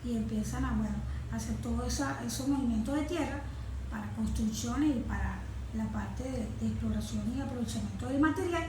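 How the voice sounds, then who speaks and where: A Venezuelan woman explaining like a consultant being interviewed, haltingly, often checking that the listener follows. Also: a little high. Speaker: environmental consultant, guest. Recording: roomy echo, some background noise.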